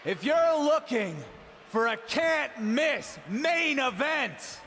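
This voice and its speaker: A male actor playing a bold champion wrestler, declaiming a video game promo with emphatic pauses. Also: very high-pitched, very animated, heavily acted. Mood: bold, defiant. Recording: echoing arena, loud crowd noise.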